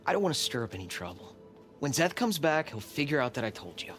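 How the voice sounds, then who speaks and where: A man's voice: mid-range voice, sing-song, quick pace, a bit bright, soft background music. A man playing an anxious, regretful follower of a cult in a video game, hesitant and subdued. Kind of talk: naturalistic video game cutscene acting.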